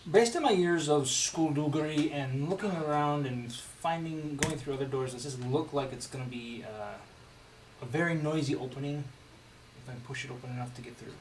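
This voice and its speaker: A man's voice: on the high side, moderate pace. A man taking part in a tabletop game, talking casually as he says what his character does. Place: indoor room, around a home game table. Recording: distant mic, clear background noise.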